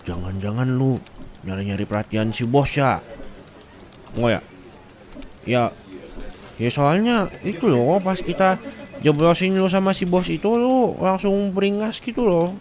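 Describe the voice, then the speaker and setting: A man playing a gossipy, excitable male office coworker in a roleplay, with a Jakarta accent and slangy, dramatic, rambling chat. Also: high-pitched, slight background noise.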